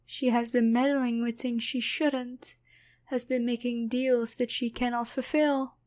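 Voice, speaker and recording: A sly female demon in a stolen girlfriend's body, played by a woman for an ASMR roleplay. The voice is high and feminine, taking its time over each phrase. The delivery is intimate and teasing, with a taunting edge. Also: flat delivery, a little slow, darkish tone, lightly acted. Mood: smug, menacing. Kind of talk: ASMR roleplay, addressed to the listener.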